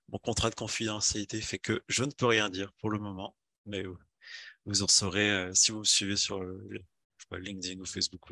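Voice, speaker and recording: A man's voice: on the low side, pushed and bright, dry recording. A young man from France, a video game developer, giving a casual talk with slides, hesitant with many fillers and restarts.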